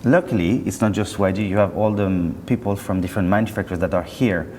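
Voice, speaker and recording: Male company spokesman, deep-ish voice, conversational and self-correcting, recorded in a noisy reverberant show space. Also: steady tone, warm tone. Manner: chatty, halting and self-correcting. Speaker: company representative being interviewed. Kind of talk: casual interview chat. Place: indoor hi-fi show. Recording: roomy hall, clear background noise.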